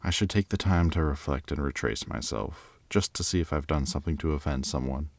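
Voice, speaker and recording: A man's voice: a bass voice, fairly quick, no echo. A man playing a polite, reflective and charming diplomat candidate in an audio drama, speaking in a courteous, thoughtful way. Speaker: job candidate. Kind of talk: audio drama acting, conversational interview answers.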